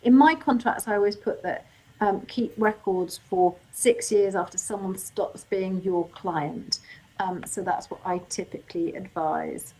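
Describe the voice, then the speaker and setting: Woman, webinar host, going croaky, medium pitch, reads out questions, casual answers. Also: unhurried, a little mellow, rough recording. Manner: reads out audience questions and answers them informally, with fillers. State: voice getting croaky. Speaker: legal workshop host. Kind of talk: live webinar Q&A.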